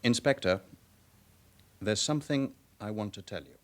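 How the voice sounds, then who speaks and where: A British gentleman banker, played by a male actor in a radio crime play, speaking in a lowish voice. He is formal, guarded and easily affronted. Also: normal speed, close to an everyday voice. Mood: guarded, uneasy. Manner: formal, indignant. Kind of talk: radio crime drama acting.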